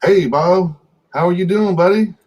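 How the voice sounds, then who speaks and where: A man's voice: sits high, normal speed, a little mellow. An older man hosting a casual chat, teasing his co-host and swearing offhandedly. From United States. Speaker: podcast host. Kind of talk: casual chat over video call.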